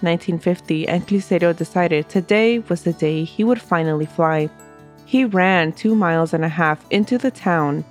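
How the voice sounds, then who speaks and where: Podcast host lady reading a history story, lowish voice, bit stuffy from a cold, faint music behind. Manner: steady storytelling narration.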